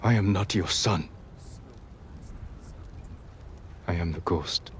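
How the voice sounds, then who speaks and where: A man's voice: deep voice, moderate pace, lightly acted. Man acting a solemn samurai warrior over noisy ambience. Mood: cold resolve. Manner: solemn, terse, emphatic delivery. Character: resolute young samurai warrior turned outcast avenger. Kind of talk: dramatic video game cutscene acting. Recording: clear background ambience noise.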